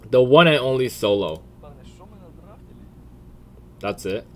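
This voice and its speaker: A man's voice: mid-range voice, expressive, slow pace, a bit bright. A man giving casual, slangy reaction commentary as a streamer, blunt and full of swearing. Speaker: streamer and video creator.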